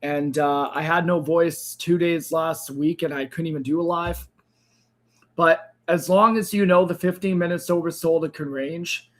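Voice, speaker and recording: A man hosting a crypto trading livestream, speaking casually to viewers, hoarse and tired as his voice gives out. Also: fairly even intonation, moderate pace. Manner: tired, low-energy sign-off with frequent fillers.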